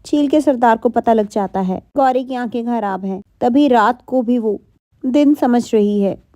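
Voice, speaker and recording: A woman reads a scripted story for children in a high voice. The tone is mellow, and the recording is dry and close. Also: unhurried, no room sound. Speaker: narrator.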